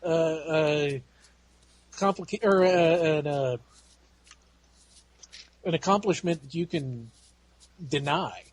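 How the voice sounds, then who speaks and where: A man's voice: a little high, takes it slowly, pauses often. A man chatting casually on a fan podcast, hesitant with many fillers as he retells scenes. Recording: faint background noise.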